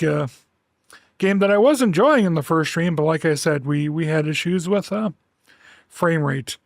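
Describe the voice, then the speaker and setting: A man chatting casually on a home livestream through a headset mic, rambling with lots of restarts and fillers in a relaxed, soft-spoken way. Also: fairly high pitch, creaky, no echo, studio quality. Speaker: streamer.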